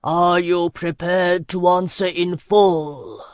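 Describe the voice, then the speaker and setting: Woman voicing an ancient, booming sea lord. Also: steady tone, a little mellow, clear, resonant tone, dry recording. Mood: solemn. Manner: slow, grave, booming.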